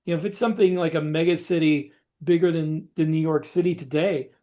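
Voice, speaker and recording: A man with a distinctly high-pitched voice chats as a podcast co-host. He describes things casually in short, halting phrases, pausing and repeating words along the way. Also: fairly even intonation, dark tone. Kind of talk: casual discussion, describing story scenes.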